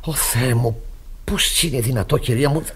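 An actor playing a moralistic, easily shocked pastor in radio theatre, with a husky voice and a pompous, flustered manner. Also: male voice, medium pitch, a bit bright. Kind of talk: classic Greek radio theatre acting.

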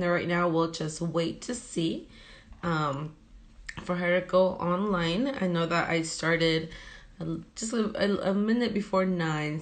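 Chatty young woman hosting a live chat. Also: lowish voice. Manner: friendly, chatty, with frequent laughs. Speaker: host. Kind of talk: live video chat interview. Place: indoor room. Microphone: phone held close, front camera.

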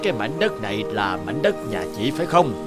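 An actor voicing a vain, spiteful middle-aged feng shui master in a cartoon folk tale, theatrical and grumbling. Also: male voice, mid-range voice, sing-song, fairly quick, lightly acted. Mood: falsely polite. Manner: theatrical, muttering complaints, falsely polite. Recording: music behind the voice.

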